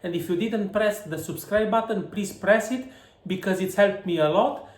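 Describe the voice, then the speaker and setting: High-voiced man vlogging. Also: dry recording. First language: Romanian. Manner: halting non-native English with many fillers. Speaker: football travel vlogger. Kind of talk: casual travel vlog narration.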